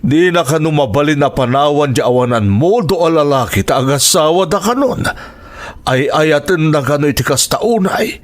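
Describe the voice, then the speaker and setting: A man's voice: fairly high pitch, expressive, moderate pace, close to an everyday voice. An actor playing a troubled, protective older father with an Ilocano accent in a radio drama. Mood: worried, indignant.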